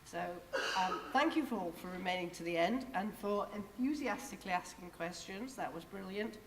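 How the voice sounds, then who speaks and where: A woman giving an effusive, grateful closing speech as outgoing chair, her voice going hoarse. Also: mid-range voice, normal speed, a little hiss or hum. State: losing her voice. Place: large conference hall. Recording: distant mic in a reverberant hall.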